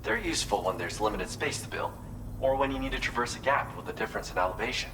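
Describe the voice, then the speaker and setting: A man's voice: mid-range voice, animated, fast, lightly acted. An actor playing a wistful, proud architect in a video game, speaking earnestly and reflectively as he explains his craft. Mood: informative, friendly. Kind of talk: video game cutscene dialogue. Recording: clear background noise under the voice.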